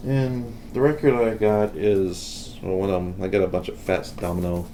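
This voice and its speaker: A man giving a casual, unscripted how-to demonstration, talking haltingly and unhurriedly as he works. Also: sits low, fairly even intonation, mellow, a little hiss or hum. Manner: unhurried, halting, thinking aloud while working. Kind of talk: casual unscripted hands-on tutorial.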